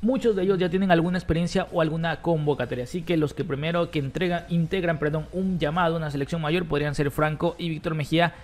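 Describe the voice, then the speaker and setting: A man presenting a football news roundup for an online channel, brisk and informative. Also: on the high side, steady tone. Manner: brisk, reads quotes aloud.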